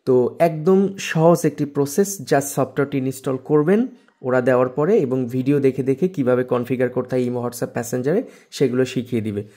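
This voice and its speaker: A man with a Bangladeshi accent hosts a casual tech video. His voice is mid-pitched and soft-edged, with a relaxed, mellow tone. He explains and promotes a product directly to viewers. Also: normal speed.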